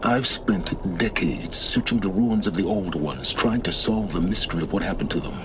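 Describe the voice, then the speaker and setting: A man's voice: deep voice, natural voice. A man playing a cold, aloof scholarly mentor in a game cutscene, speaking in a clipped, condescending way. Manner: condescending, clipped, slow deliberate. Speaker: game character. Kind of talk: video game cutscene acting. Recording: game audio with clear background noise.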